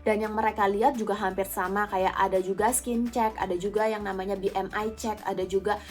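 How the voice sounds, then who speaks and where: A young woman with an Indonesian accent speaks in a medium-pitched voice. Her delivery is informal and conversational, like a vlogger chatting directly with followers. Music plays behind her voice. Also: fairly even intonation, brisk, darkish tone. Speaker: vlogger, former or current flight attendant. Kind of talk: casual chatty Q&A to viewers. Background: background music.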